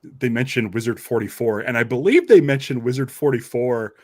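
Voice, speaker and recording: A man's voice: mid-range voice, fairly quick. A man hosting a podcast in casual, informal chat, telling things loosely and conversationally. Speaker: podcast host.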